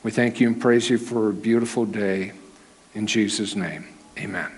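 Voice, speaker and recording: A man teaching a sermon in church, with a naturally loud voice held down, talking in a conversational way and asking the congregation questions. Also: lowish voice, fairly even intonation, normal speed, breathy edge. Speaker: preacher. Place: church hall.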